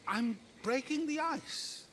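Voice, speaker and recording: A man's voice: very high voice, animated, slow pace, a bit bright, slight background noise, exaggerated voice. An actor playing a vain, flippant, immature father god, theatrical and boastful, in a comic video game. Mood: joking.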